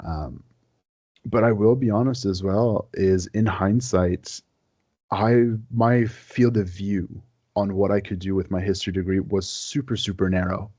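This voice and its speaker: A young man from Quebec whose first language is French, talking as a guest historian in a casual podcast interview, earnest and relaxed with many fillers and repeated words. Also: deep voice, measured pace, dry recording.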